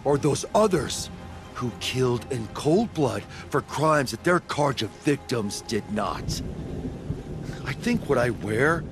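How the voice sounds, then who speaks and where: A man's voice: mid-range voice, expressive, slow pace, music in the background. Weary, wry exiled tribesman. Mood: defiant.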